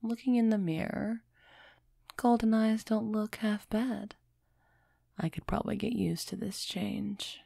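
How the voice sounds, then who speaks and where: A woman acts out a commanding female vampire in an ASMR audio drama. She speaks slowly and deliberately, with a gravelly fry under her soft, teasing authority. The sound is dry and intimate, with no room around her. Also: medium pitch, fairly even intonation, no echo. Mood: startled, flustered. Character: aloof female vampire, commanding, teasing, warming to human captive.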